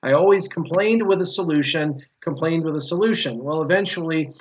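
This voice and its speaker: A man with a fairly high voice chats informally and argues his view firmly. He repeats key points for emphasis, and the audio is somewhat dull and lo-fi. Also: a little fast. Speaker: guest, former mobile game player. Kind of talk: casual opinionated gaming discussion.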